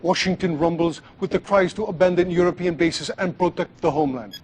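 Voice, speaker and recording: An actor playing a solemn, boastful propagandist war reporter in a game, declaiming a scripted TV news report. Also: male voice, high voice, fairly quick, lightly acted.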